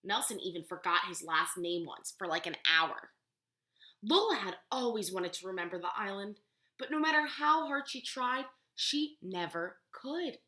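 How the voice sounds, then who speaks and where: Echoey, animated woman reading to young children. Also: mid-range voice, moderate pace. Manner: instructive, asks questions and explains words. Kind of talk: storybook read-aloud with questions to children. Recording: roomy, echoey room sound.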